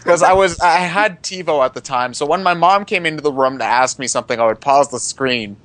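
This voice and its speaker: A man chatting casually as a podcast co-host, often halting and rephrasing as he goes. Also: on the high side, fairly bright tone. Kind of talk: casual group chat.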